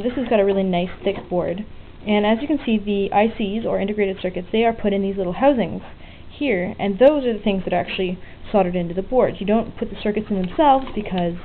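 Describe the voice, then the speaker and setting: A woman's voice: medium pitch, fairly quick. A woman giving a casual, unscripted hands-on demonstration, explaining things in an informal and frank way. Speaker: DIY electronics hobbyist presenting a tutorial. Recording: muffled phone-like lo-fi audio with faint noise.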